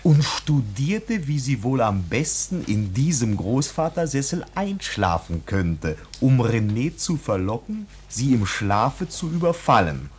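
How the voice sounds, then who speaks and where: A man's voice: mid-range voice, big pitch swings, a little slow, hardly any pauses, slight background noise. A man narrating a scripted tale for 1940s radio in a playful, conspiratorial manner.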